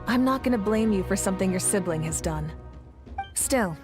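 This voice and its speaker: A woman's voice: mid-range voice, animated, moderate pace, close to an everyday voice. Woman acting an assured leader over music. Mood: reassuring. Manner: assured, frank. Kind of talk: video game character acting. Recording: music under the dialogue.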